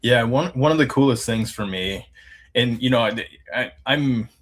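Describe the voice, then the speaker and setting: A man's voice: mid-range voice, moderate pace. A man in his forties hosting an interview, talking loosely and rambling with many fillers. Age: 40s. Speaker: host, Army veteran. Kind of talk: casual interview chat.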